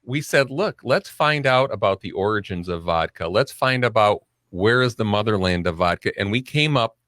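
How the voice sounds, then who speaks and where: A man's voice: on the low side, animated, moderate pace, a bit bright, no echo, studio quality. A man who founded a vodka brand, telling its start story on a podcast with wry asides. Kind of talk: casual podcast chat.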